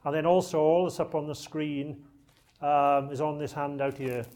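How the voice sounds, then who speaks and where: A man's voice: on the high side, fairly even intonation, moderate pace, darkish tone. A man with a Welsh accent, originally from South Wales, giving a jokey, chatty public talk to a live audience in a lecture room. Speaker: archive lecturer.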